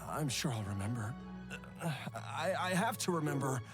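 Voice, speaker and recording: An actor playing a frail sick man in a game, pleading with urgency as his voice rises and falls widely. Game music plays clearly under him. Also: medium pitch, sing-song, normal speed, natural voice. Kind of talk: video game voice acting.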